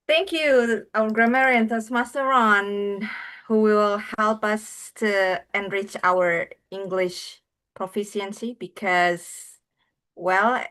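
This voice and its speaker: A woman whose first language is Indonesian speaks in a polite, formal way as she introduces the meeting roles. Her voice sits at a middle pitch. She speaks through a video call. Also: fairly even intonation, slow pace, fairly bright tone. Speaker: general evaluator.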